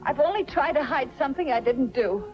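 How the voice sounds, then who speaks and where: A woman's voice: high voice, lively intonation, brisk. An actress playing a frightened young woman who is wronged yet defiant, earnest and dramatic in 1940s film noir style. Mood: indignant, pleading. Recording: muffled 1940s film soundtrack with music behind.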